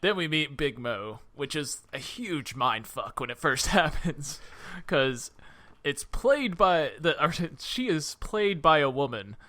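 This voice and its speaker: A man with a bright, forceful voice chats loosely about a film, and he laughs often as he goes. He keeps jumping into quick impressions of the characters. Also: on the high side, sing-song. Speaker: podcast co-host. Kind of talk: casual movie review chat.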